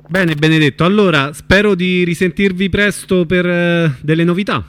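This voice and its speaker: A man's voice: high voice, steady tone, normal speed, smooth. Male interviewer, tentative phrasing. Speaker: radio interviewer. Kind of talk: informal spoken interview questions.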